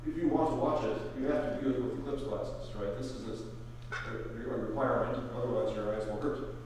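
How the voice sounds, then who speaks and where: A man's voice: mid-range voice, fairly quick, darkish tone, slight background noise. An older man with a Texan accent giving a chatty, rambling public science talk, with many fillers. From Texas. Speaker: guest lecturer. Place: large echoey lecture hall. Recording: echoey hall from far off.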